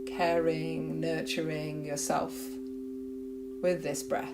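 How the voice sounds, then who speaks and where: A woman yoga nidra teacher leading a guided meditation in a gentle, unhurried, soothing way with long pauses. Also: low voice, level tone, very noisy.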